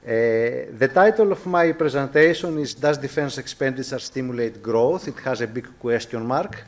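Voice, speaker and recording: A man with a Greek accent giving a formal academic lecture as a guest professor, courteous and measured, often restating his phrases carefully. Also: mid-range voice. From Greece.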